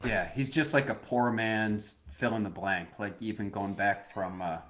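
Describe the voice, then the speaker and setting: A man's voice: on the low side, fairly even intonation, measured pace, fairly bright tone. A man co-hosting a podcast, chatting casually and giving opinions in rambling asides. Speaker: podcast co-host. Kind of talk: casual chat about movies.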